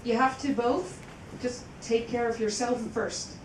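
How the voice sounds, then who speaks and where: Halting woman, distant in an echoey room. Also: steady tone. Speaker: audience member commenting. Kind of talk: informal audience comment. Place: echoey indoor conference room. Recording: echoey room, distant mic, faint rumbling noise.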